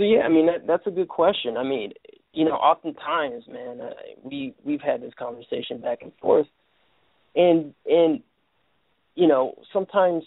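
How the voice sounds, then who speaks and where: Male call-in radio host, gravelly, halting, with a dry, phone-thin sound. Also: mid-range voice, no room sound, narrow, tinny audio. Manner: halting, many restarts and fillers. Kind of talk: casual call-in radio talk.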